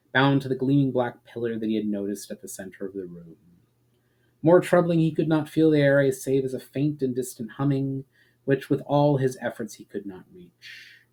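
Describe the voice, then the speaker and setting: A man narrating a fantasy story as an expressive, dramatic reader. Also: medium pitch, normal speed, rough recording. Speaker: narrator and author.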